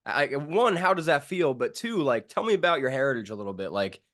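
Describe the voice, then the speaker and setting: A man's voice: mid-range voice, sing-song, a little fast, fairly bright tone. A man hosting a casual sports interview, asking informal, rambling questions full of slang. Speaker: sports show host interviewing a fighter.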